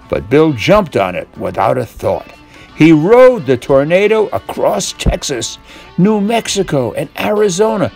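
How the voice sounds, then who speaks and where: An older man reads a tall tale as a bedtime story, expressive and deliberate, drawing out key words. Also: mid-range voice. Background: guitar music.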